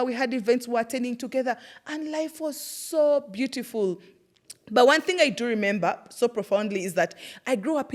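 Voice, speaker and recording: A young Ugandan woman with a thick Ugandan English accent, hosting a personal reflective monologue and telling stories with laughter and plenty of fillers. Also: on the high side. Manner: animated storytelling with laughter and fillers. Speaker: host.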